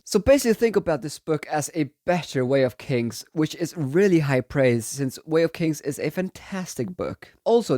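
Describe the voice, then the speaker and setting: A man's voice: a little high, a little mellow, no echo. Young guy with a cold doing a chatty book review, dry close sound. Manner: enthusiastic, chatty, repeats himself. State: has a cold. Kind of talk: casual book review vlog.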